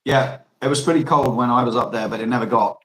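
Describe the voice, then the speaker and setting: A man's voice: mid-range voice, steady tone, fast talker, fairly bright tone, a little hiss or hum, low-quality recording. A man with an Australian accent, chatting casually and telling rambling stories full of restarts and self-corrections. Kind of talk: casual chat.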